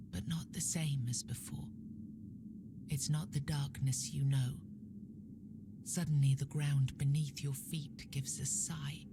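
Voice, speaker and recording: A woman voicing an ominous, solemn narrator of a dark fantasy game in hushed, dramatic storytelling. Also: low voice.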